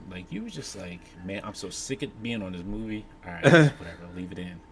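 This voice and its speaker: A man with a mid-pitched, very lively voice chats as a panelist. He is emphatic and slips into imagined remarks, with faint noise around him on the live recording. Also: brisk. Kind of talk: casual panel chat. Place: convention panel room.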